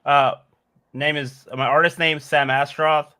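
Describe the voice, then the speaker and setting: A man's voice: a little high, fairly even intonation, unhurried, bright, forceful tone, clear, resonant tone. A man in his forties from Bangladesh, a musician answering interview questions in a thoughtful, meandering way with frequent "like" and "uh". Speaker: musician guest.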